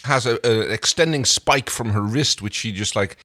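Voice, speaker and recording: A Dutch man with a low voice co-hosts a casual podcast recap. He talks in a halting way, feeling for his words, and the sound is dry and close. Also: pushed and bright, no room sound. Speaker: podcast co-host. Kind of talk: casual chat recapping a TV show.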